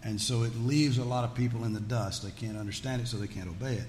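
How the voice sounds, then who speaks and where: A man's voice: medium pitch, fast, a little mellow. A man teaching scripture to an audience in a folksy, conversational way, with joking asides. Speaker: Bible teacher. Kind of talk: religious teaching with audience questions. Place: large echoey room with an audience. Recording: echoey hall with background noise.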